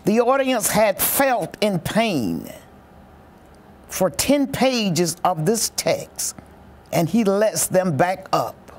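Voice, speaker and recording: A commentator explaining a historic speech in a reflective, expressive storytelling way. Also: mid-range voice, extremely slow. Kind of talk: reflective documentary-style commentary.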